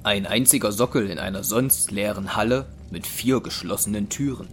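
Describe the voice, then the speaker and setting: A man narrating a scripted dramatic story in a measured, deliberate way. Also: fairly low pitch. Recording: music under the voice.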